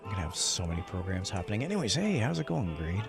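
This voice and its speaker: A man's voice: fairly low pitch, very animated, fast, creaky, over music. A man hosting a casual gaming livestream, chatty and upbeat, often muttering to himself as he sets things up. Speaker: livestreamer and host. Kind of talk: casual gaming livestream chat while setting up.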